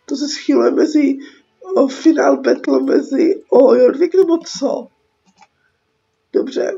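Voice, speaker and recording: High-pitched young Czech woman, creaky, sick with a cold. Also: dark tone. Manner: rambling, slangy, sarcastic. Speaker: gaming video host. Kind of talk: casual game commentary with story recap. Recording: dull lo-fi voice track over music. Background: game music.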